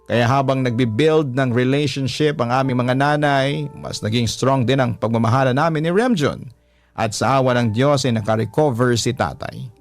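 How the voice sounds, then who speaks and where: A man narrating a listener's letter in the first person at a medium pitch, in steady, measured storytelling. Soft music plays faintly beneath his voice.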